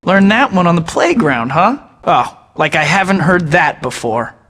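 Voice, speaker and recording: A man's voice: on the high side, big pitch swings, a little slow, fairly bright tone, big-room sound, exaggerated voice. An actor voicing a young male superhero who tosses out sarcastic taunts in a video game. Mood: mocking. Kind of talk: game voice clips.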